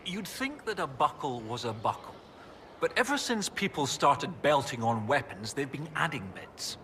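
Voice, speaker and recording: An actor playing an enthusiastic research professor in a video game, explaining things in a pedantic, eager way. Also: male voice, mid-range voice, very animated, normal speed, pushed and bright, slight background noise. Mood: eager, amused. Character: enthusiastic, bookish male research professor and archaeologist. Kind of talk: video game dialogue acting.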